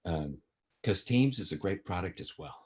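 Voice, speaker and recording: A man's voice: sits low, expressive, normal speed, darkish tone. A man speaking casually as a guest on a group video call.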